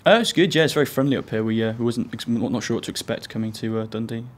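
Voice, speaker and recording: A man's voice: mid-range voice, very fast. A man, a team leader, answering interview questions in a hesitant, rambling way.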